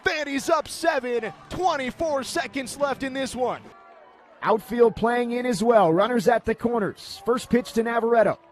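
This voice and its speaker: Man, high-pitched voice, sports broadcaster, play-by-play commentary, crisp punchy phrasing. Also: normal speed.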